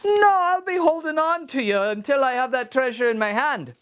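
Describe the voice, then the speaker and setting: A woman's voice: high-pitched, lively intonation, normal speed, fairly bright tone, clean tone, voice pushed up. A woman voicing a stubborn, clever poor farm lad who speaks firmly. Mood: triumphant. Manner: firm, insistent.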